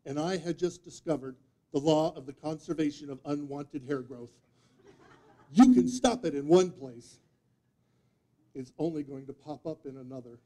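Mellow, higher-pitched man telling a tall tale. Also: normal speed, long pauses. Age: 50s. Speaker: speech contest speaker. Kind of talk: humorous tall-tale storytelling speech. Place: meeting room before a live audience, indoors. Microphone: distant audience camera microphone.

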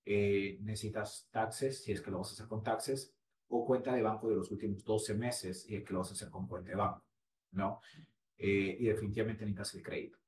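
A man in his forties explaining home buying on a video call, with steady explanatory talk that he tags with "¿no?". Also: fairly low pitch, fairly quick. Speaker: real estate agent. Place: small room at home. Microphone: laptop webcam. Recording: roomy, distant mic with faint hiss.

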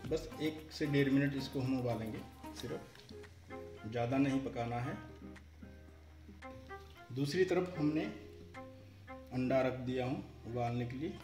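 A man's voice: medium pitch, measured pace, long pauses. A man in his thirties hosting a cooking channel, explaining each step of a recipe plainly from his home kitchen. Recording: echoey kitchen with music underneath.

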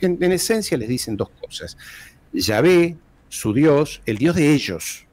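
A man's voice: fairly high pitch, big pitch swings, measured pace, a little mellow. A man, a guest historian speaking emphatically, posing rhetorical questions and repeating key phrases, talking into a desk microphone in a small room at home. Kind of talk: interview over video call. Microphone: large desk microphone close up.

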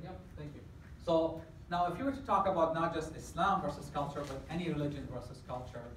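A man's voice: fairly high pitch, slight background noise. A middle-aged man from Afghanistan giving a campus lecture, asking the audience questions as he explains. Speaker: guest lecturer. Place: large lecture hall indoors. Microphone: far camera at the back of the hall. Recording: echoey hall from far off.

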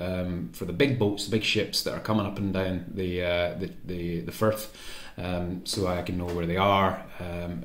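Casual man demonstrating in an echoey room. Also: deep voice. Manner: informal, thinking aloud. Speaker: presenter of a radio programming tutorial. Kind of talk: casual hands-on demonstration, explaining step by step. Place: indoor room at a table.

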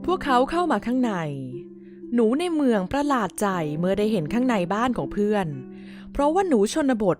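Animated woman narrating a children's story. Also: fairly high pitch, moderate pace, over music.

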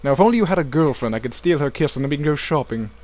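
A man's voice: on the high side, animated, very fast, a little mellow. A man giving joking, crude gameplay commentary as the host of a let's play. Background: video game music.

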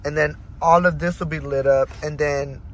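A man's voice: fairly high pitch, fairly even intonation, moderate pace, a bit bright. A young man hosting a vlog from inside a car, chatting casually, swearing and laughing a lot. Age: young adult. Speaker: vlog host. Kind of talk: casual chat. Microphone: phone camera on the dashboard.

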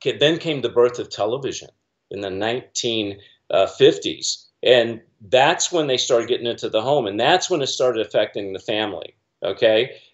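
A man's voice: medium pitch, animated, moderate pace. Middle-aged man, earnest rambling talker, slightly muffled video-call sound. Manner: earnest, rambling with many fillers and restarts. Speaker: guest commentator. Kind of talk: casual video-call discussion. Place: small room at home, indoors.

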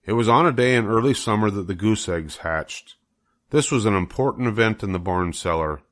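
A man's voice: on the low side, lively intonation, fairly quick, fairly bright tone. An older man narrating a children's book as a storybook reader.

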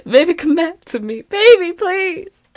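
A woman playing an affectionate, playful, excitable young girlfriend in an intimate roleplay audio, with a bubbly, teasing and pleading manner. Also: extremely high pitch, no room sound, clean studio sound, heavily acted. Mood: giggly pleading.

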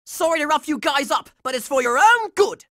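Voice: high-pitched, animated, fairly quick, fairly bright tone, no echo, clean studio sound. A performer voicing a scrappy, hot-tempered boy fighter in a cartoon video game, brash and combative.

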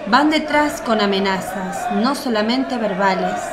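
A woman narrating a religious radio drama from a script, in a measured storytelling way. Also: mid-range voice, lively intonation, over a noisy crowd, very noisy.

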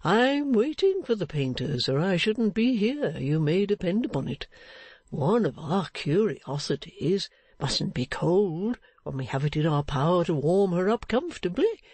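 Kindly old church man, done by a female narrator, stout, chatty, very slow, swooping intonation, storybook character. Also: on the low side, very animated, darkish tone, breathy edge, no echo, heavily acted. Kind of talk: dramatized audiobook reading of a classic novel.

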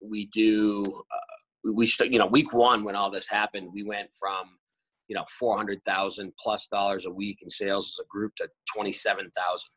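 A man's voice: lowish voice, flat delivery, normal speed, fairly bright tone, breathy edge, no echo, poor audio. A man in his forties who owns a restaurant group, answering interview questions on a video call in a rambling way with many fillers and restarts. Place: bright room at home. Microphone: laptop camera on a video call.

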